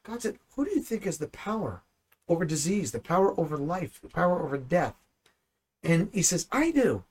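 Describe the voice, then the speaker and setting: A man in ministry teaching the Bible in conversation, animated and full of rhetorical questions. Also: fairly high pitch, moderate pace, husky. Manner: animated, asks rhetorical questions, acts out dialogue.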